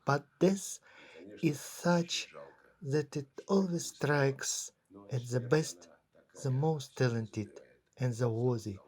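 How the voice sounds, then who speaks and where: A man speaking a dubbed documentary voice-over in a slow, solemn reading. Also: medium pitch, darkish tone. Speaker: voice-over translator of an interviewee.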